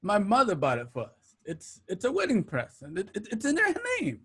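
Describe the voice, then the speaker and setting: An excitable, boastful gangster, acted by a man in a crime radio play. The voice sits high and moves about a lot, with a stammering eagerness to please. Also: moderate pace, breathy edge, full character voice. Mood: nervous placating. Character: eager, boastful gangster placating his angry boss.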